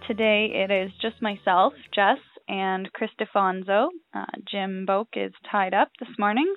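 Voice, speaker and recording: A woman's voice: mid-range voice, steady tone, unhurried, dry recording, soft background music. A woman hosting a farming podcast, leading a guest interview in a friendly, conversational way. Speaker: podcast host. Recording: dry, tinny phone-like sound.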